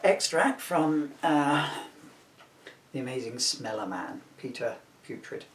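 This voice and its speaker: A middle-aged man reads a children's book in a loose, chatty way, rambling into jokey asides. His voice sits at an ordinary pitch. The recording is roomy and echoing, with the microphone some way off. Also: expressive, takes it slowly, fairly bright tone, a little hiss or hum. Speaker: author reading from his own children's book. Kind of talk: informal, chatty video book reading. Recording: roomy echo, distant microphone.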